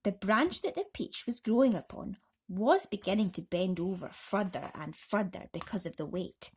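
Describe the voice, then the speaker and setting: A woman's voice: mid-range voice, lively intonation, normal speed, a little mellow, slightly rough. A woman reading a children's bedtime story expressively, with dramatic emphasis, as a host talking to young listeners.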